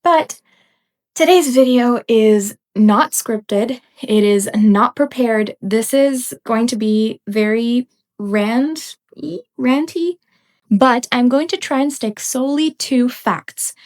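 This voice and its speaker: A young woman with a somewhat high voice delivers an unscripted rant as a podcast host. She talks bluntly and emphatically, with the odd laugh, and sounds slightly stuffy from a cold. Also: slow, darkish tone. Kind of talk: unscripted ranting commentary.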